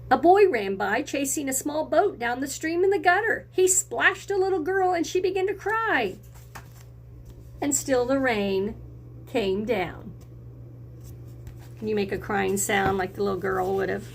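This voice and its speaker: A woman with a fairly high voice and a strong creak reads a picture book to young children. She is expressive and encouraging, using simple phrases and turning to her listeners with questions. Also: unhurried, slight background noise. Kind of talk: read-aloud lesson for children, with questions to listeners.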